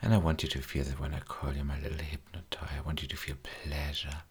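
A man speaking as a hypnotist in a trance recording, slow and soft-spoken, often sinking into whispers with coaxing, repetitive phrasing. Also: rumbling low, flat delivery, husky.

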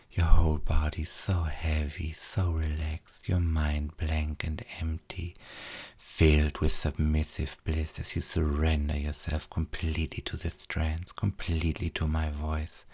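A man guiding a hypnosis induction in a soft, repetitive way, often dropping to a whisper. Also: rumbling low, breathy edge.

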